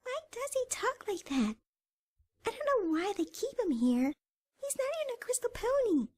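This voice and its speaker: Woman as high, swooping bully filly. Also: big pitch swings, normal speed, a little mellow, dry recording, clean studio sound. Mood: scornful. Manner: mocking, haughty. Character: snooty mean schoolyard bully filly. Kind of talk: cartoon-style comic dub acting.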